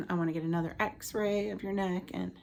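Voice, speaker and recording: Casting: woman, late 30s, casual vlogger, scratchy end-of-cold voice with gravelly fry, some background noise. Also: fairly low pitch, steady tone, normal speed. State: getting over a cold with a lingering cough. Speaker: vlogger, stay-at-home mother. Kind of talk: casual personal health storytelling to camera.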